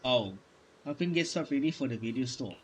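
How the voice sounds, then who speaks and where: A man reading game dialogue aloud during a playthrough, halting and stumbling over words as he goes. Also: mid-range voice, animated, a little hiss or hum, rough recording. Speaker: gaming streamer.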